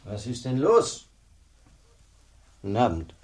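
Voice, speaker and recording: A blunt, grumbling former policeman in a radio play; husky, middle pitch. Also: huge pitch swings, takes it slowly, darkish tone, exaggerated voice. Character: gruff former village policeman.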